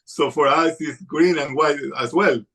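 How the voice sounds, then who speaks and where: A man from Ecuador hosts an interview in English with an Ecuadorian Spanish accent. His voice sits fairly high, and he phrases his questions carefully, using small fillers along the way. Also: measured pace, a bit bright, slightly rough. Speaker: host. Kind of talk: hosting an interview.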